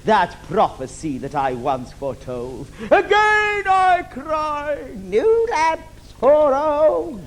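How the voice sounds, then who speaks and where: A man's voice: extremely high pitch, wildly swooping pitch, takes it slowly, hardly any pauses, heavily acted. A man playing a scheming, camp old villain in an adult pantomime, theatrical and declamatory, on a theatre stage. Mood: grandly scheming. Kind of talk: adult pantomime stage acting with rhyming verse and innuendo. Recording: noisy live theatre stage recording.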